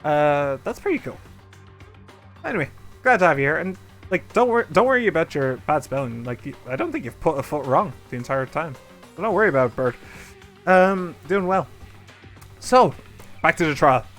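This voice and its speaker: A man's voice: fairly high pitch, lively intonation, normal speed, long pauses, fairly bright tone. A man streaming a game, chatting casually and rambling as he talks to his viewers. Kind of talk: casual let's play commentary. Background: game music.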